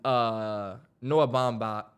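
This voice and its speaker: A man's voice: mid-range voice, fairly even intonation, extremely slow, clear, resonant tone. An American man co-hosting a podcast, chatting casually with slangy, profane, emphatic phrasing. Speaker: podcast co-host. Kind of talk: casual chat.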